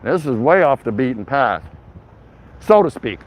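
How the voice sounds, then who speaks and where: A man's voice: medium pitch, big pitch swings, measured pace. A man hosting a casual livestream as he walks outdoors, rambling along in an easygoing, chatty, jokey way to his viewers. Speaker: vlog host livestreaming a walk. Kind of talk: casual rambling walking commentary to live chat. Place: outdoors, walking in a city bayside area. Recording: dull lo-fi outdoor mobile audio, clear traffic noise. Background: passing vehicles and urban outdoor noise.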